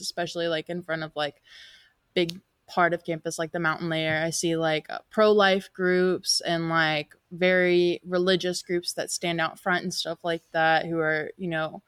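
A man's voice: on the high side, fairly even intonation, normal speed, a bit bright, smooth, no room sound. A young man, a college freshman, speaking hesitantly with many "like" fillers. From North Carolina and West Virginia. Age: young adult.

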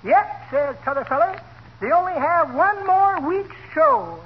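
Very high, cackling old codger. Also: male voice, gravelly, heavily acted. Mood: jolly, teasing. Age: older. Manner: folksy, drawn-out, teasing. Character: cackling, folksy old codger who tells corny jokes. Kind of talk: 1940s radio comedy acting. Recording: muffled, faintly noisy 1940s radio recording.

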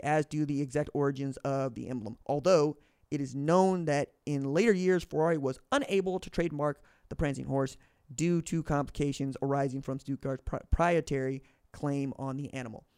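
A man with a fairly high voice reads aloud on his podcast and mixes in his own commentary. He trips over words and corrects himself as he goes. The recording is dry and close, with no room sound. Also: darkish tone, smooth, dry recording. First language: English. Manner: stumbles and corrects himself mid-sentence. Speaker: podcast host. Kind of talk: reading a book aloud with personal commentary.

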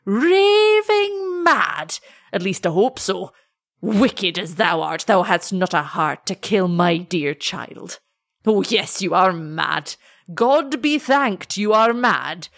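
A man's voice: high-pitched, sing-song, fairly bright tone, dry recording, clean studio sound, exaggerated voice. A woman reading aloud, voicing an old male Scottish shepherd who speaks in broad Scots dialect with a vehement, blustering manner. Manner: blustering, vehement, drawn-out phrasing. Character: old Scottish shepherd father, gruff and humorous.

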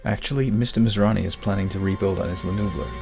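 An actor playing a composed, single-minded scientist in an audio drama, measured, assured and persuasive. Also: male voice, on the low side, steady tone, vocal fry. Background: music.

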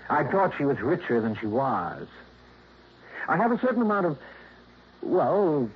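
An actor playing a smooth, mock-polite scheming man in a 1950s radio drama. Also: fairly high pitch, big pitch swings, unhurried, heavily acted. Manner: suave, mock-polite, condescending. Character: smooth scheming male secretary, slippery. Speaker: suspect. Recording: muffled old radio broadcast.

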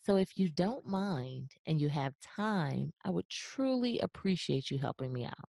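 A woman's voice: sits low, animated, measured pace, gravelly, warm tone, dry recording. A woman hosting a podcast interview, enthusiastic and chatty, often repeating her praise. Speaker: podcast host. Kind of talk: casual interview, upbeat.

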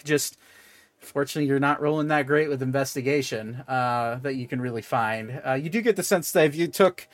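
A man acts as game master, improvising narration for a tabletop game. His voice sits in a middle register and he talks conversationally, stopping to rephrase. The sound is dry, with soft music underneath. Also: medium pitch, no room sound. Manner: halting, conversational, self-correcting.

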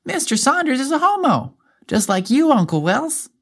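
Guy doing a little girl about ten, high pitched, cheerful chatty know-it-all, story reading. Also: very animated, moderate pace, a bit bright, heavily acted. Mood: cheerful, matter-of-fact. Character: cheerful, precocious ten-year-old girl, frank and chatty.

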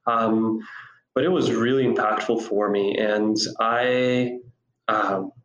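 This Costa Rican man in his thirties talks in a fairly low voice, and his accent has a slight South African tinge. He reflects slowly, with fillers and restarts. The room is echoey. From Costa Rica. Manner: thoughtful, hesitant, many fillers and restarts. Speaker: guest. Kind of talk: reflective personal storytelling.

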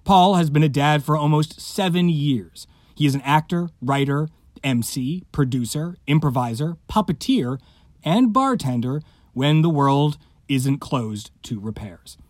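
Lively higher-voiced man hosting a podcast. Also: measured pace, dry recording, studio quality. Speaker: podcast host. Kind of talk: scripted podcast introduction.

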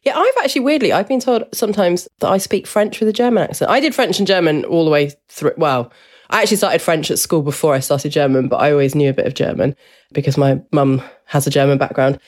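A woman's voice: sits low, fast talker, darkish tone. Woman podcast host chatting with a guest, encouraging, easygoing. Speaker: podcast host, language teacher trainer. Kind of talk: casual interview chat.

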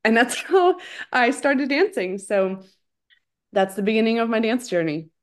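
A woman's voice: fairly high pitch, animated, unhurried. A young woman from Ukraine, a former professional dancer, chatting as a guest and telling her story in a friendly way. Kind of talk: casual interview.

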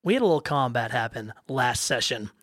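A man's voice: medium pitch, brisk, no echo, studio quality. A man acting as game master on a tabletop game podcast, bantering and joking with his players before recapping the last fight. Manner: animated, joking, swears casually.

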